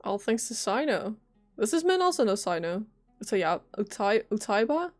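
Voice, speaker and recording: A woman streams a game in a casual, reactive style, switching between commentary and reading aloud. Her voice is mid-pitched with a lingering cough, and game music plays behind it. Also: very animated, moderate pace. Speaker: livestreamer.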